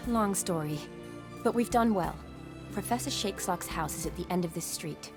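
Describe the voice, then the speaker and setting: A woman's voice: mid-range voice, expressive, normal speed, music in the background, lightly acted. A woman voicing a plucky, resourceful young female friend in a video game. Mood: urgent.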